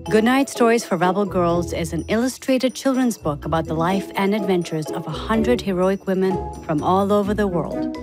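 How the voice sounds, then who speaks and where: A woman reading a scripted promotional message in an upbeat, clearly enunciated manner. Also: mid-range voice, moderate pace, over music. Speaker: ad announcer.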